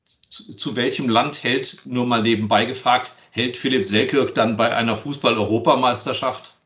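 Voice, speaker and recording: A man hosting a conversational interview podcast, politely formal, with measured, roundabout phrasing. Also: medium pitch, steady tone, a bit bright. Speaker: podcast host. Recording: distant from the microphone.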